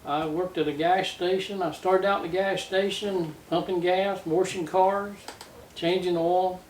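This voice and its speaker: An older Southern American man tells his life story in a folksy, casual manner. His voice sits a bit higher than average. The recording is roomy and a little far from the mic. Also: fairly even intonation, unhurried. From Tennessee, United States. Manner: folksy, plainspoken. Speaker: interview guest, retired-era trucker telling his life story. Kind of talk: casual reminiscing. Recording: roomy, distant mic with faint noise.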